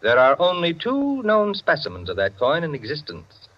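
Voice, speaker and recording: An actor playing an opinionated, self-satisfied clubman who lectures his friends in theatrical 1940s radio style, with savored pauses. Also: a little high, huge pitch swings. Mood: savoring, dramatic. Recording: dull, band-limited 1940s radio recording.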